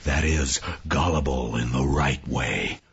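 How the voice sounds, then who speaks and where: A man voicing a cool, eccentric man who talks low and poker-faced. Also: slow pace, vocal fry, a bit bright, slightly rough, highs cut off, exaggerated voice. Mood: deadpan. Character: cool, deadpan eccentric man, middle-aged.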